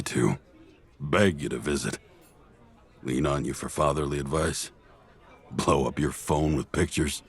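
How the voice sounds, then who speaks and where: An actor playing an easygoing, teasing family friend in a drama, with a casual, playful and kind delivery. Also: male voice, extremely low pitch, slightly rough, exaggerated voice. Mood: kind, encouraging. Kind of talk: conversational audio drama dialogue.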